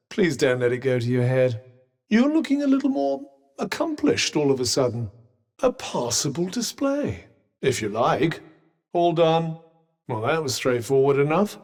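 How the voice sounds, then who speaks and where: A man's voice: a little high, big pitch swings, moderate pace, creaky, a little mellow, full character voice. A man with a British accent voicing a haughty, sardonic magical cuff companion in a video game, posh and understated in his dry, condescending delivery. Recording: voice with long reverb.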